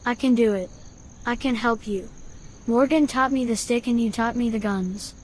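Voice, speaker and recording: A woman's voice: fairly high pitch, moderate pace, slight background noise, lightly acted, rough recording. A woman playing a defiant, impulsive young boy in an audio drama. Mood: defiant, pleading. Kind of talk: fan audio drama acting.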